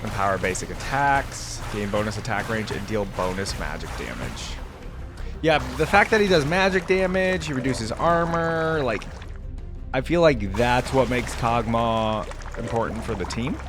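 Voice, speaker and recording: A man of middle pitch hosts a casual game reaction, chatting loosely and filling pauses with "like" and "um". He sounds curious and easygoing as he reads ability text aloud. Faint music plays behind him. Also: lively intonation. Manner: curious, chatty, many likes and ums, laughs often. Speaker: gaming reaction channel host.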